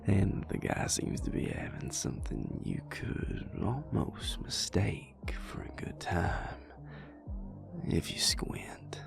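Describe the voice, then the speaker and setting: A man playing a dry, affectionate, stubborn vampire boyfriend in an intimate audio roleplay, speaking in a gravelly, breathy murmur with a teasing drawl. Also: sits low, wildly swooping pitch, slow, in a whisper, exaggerated voice. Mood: amused, fond. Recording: loud music behind the voice. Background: club music.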